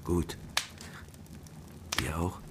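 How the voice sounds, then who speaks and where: An actor playing a wounded, stoic soldier comrade in a radio drama, speaking tersely and wearily. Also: male voice, very slow, very noisy. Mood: weary, pained. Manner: terse, weary. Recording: loud music behind the voice.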